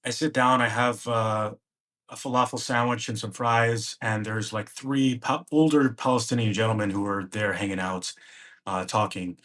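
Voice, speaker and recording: A man's voice: lowish voice, steady tone, moderate pace, fairly bright tone. A man, a podcast guest, recounting a personal travel story in present tense and acting out the dialogue he remembers. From United States.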